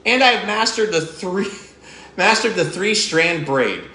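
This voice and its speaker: A man's voice: high-pitched, animated, slow pace, pushed and bright, reverberant, full character voice, low-quality recording. A man reading aloud as a grumpy, jealous male goblin who complains indignantly. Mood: grumbling.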